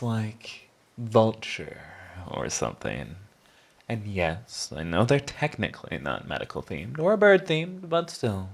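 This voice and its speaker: A man's voice: deep voice, gravelly, heavily acted. A man playing a sarcastic, flippant villain with a teasing, mocking delivery in a scripted audio roleplay. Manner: sardonic, theatrical teasing, drawn-out mocking delivery. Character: sarcastic male villain, flippant, prickly, begrudgingly charming.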